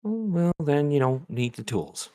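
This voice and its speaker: Man acting as weary dad in his forties, distracted, drawn-out delivery, exasperated, script read. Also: on the high side, sing-song, dark tone. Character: distracted, weary, absent-minded father of forty. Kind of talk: amateur screenplay table read. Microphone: video call.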